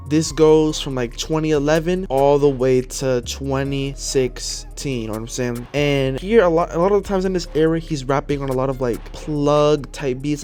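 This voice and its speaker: A young man chats loosely about rap history, keeping his voice a bit lowered in a hotel room. His pitch sits in a mid range, and faint background music plays under his words. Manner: laid-back, keeps saying "you know what I'm saying"; speaking a bit lower and quieter than usual. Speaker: music commentary YouTuber. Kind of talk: casual talking-head commentary.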